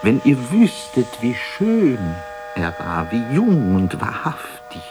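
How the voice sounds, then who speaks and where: A man playing a world-weary, self-mocking stage actor in a radio drama monologue, declaiming haltingly with bitter irony and often sinking to a breathy whisper. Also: medium pitch, huge pitch swings, noisy background, full character voice. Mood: wistful, bitter.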